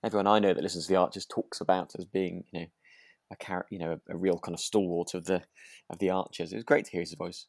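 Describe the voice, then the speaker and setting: A podcast co-host chatting in a relaxed, rambling way about a radio drama, often breaking off and rephrasing. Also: sits low, fast talker, creaky, dry recording, studio quality.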